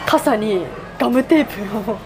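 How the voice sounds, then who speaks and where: High-voiced lively woman amid eatery chatter. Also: unhurried. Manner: eager listener with frequent reactions. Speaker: podcast co-host. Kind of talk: casual chat in polite speech. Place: in an oyster eatery where a co-host works. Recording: background chatter of a busy eatery. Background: crowd chatter.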